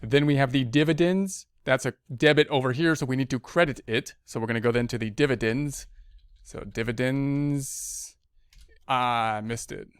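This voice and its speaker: A man with a clear, smooth voice at a middling pitch gives a casual tutorial. He thinks aloud and explains each step informally, often restating it. The sound is dry and close to the microphone. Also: moderate pace, no echo. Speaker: accounting instructor. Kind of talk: casual screen-share tutorial, talking through worksheet steps.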